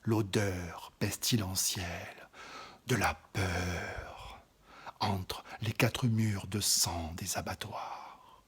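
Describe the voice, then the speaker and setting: A man giving a slow, dramatic poetry recitation, often dropping into an intimate whisper and swelling louder on some phrases. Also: deep voice, fairly even intonation, slight background noise.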